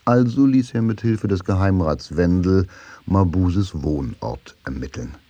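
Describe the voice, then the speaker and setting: A man's voice: low voice, expressive, unhurried, mellow, slightly rough, no echo, lo-fi sound. A man narrating a radio drama in a scripted literary style, with music behind his voice.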